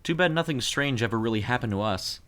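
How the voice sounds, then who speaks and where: A gentle, low-key brother in an audio story, acted by a male narrator. He talks plainly and softly consoles, with an understated curiosity in an everyday male voice. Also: expressive, quick pace, lightly acted.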